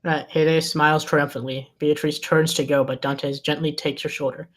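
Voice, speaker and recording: Narrator for a film table read, medium pitch, scripted scene descriptions and action lines. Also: flat delivery, normal speed, fairly bright tone. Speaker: stage direction narrator.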